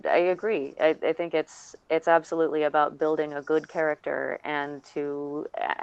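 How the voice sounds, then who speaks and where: Deep, creaky-voiced woman on a panel. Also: no echo. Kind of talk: casual panel discussion. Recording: slightly dull, lo-fi audio.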